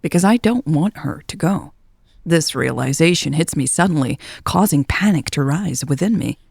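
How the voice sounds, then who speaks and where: A woman's voice: low-pitched, animated, normal speed, creaky, darkish tone, slightly rough, dry recording, studio quality. A woman reading a first-person romance story as a scripted narration.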